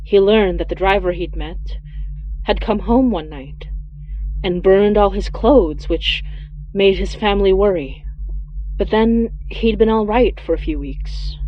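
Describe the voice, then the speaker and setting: A woman's voice: mid-range voice, a little slow, dull audio, a little hiss or hum, close to an everyday voice. A woman playing a weary, anxious young woman, speaking in a hesitant, confiding way in an intimate audio drama. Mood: somber, reflective.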